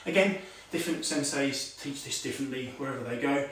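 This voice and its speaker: A man's voice: medium pitch, fairly quick, slight background noise. Man, forties, karate teacher, demonstrating while talking, lots of "okay", heard from a distance, very echoey room. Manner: talks while demonstrating moves, calls out commands; many "okay"s and fillers, relaxed teaching tone. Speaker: karate instructor. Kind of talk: online martial arts lesson. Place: home room with tiled floor. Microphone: far camera on a stand.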